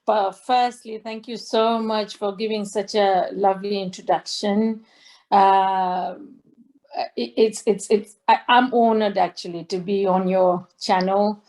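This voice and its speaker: A middle-aged woman from Tanzania, a singer on a video call, talking slowly with many fillers and restarts. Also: mid-range voice, steady tone, a little mellow. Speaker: guest singer. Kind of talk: interview over video call. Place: indoor home room.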